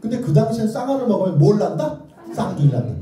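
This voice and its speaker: A man's voice: high voice, sing-song, fast talker, warm tone. A man giving an interactive lecture in a theatrical storytelling manner, putting either-or questions to his listeners. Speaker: children's author and lecturer. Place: indoor lecture room with strong echo. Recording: very echoey room, distant microphone.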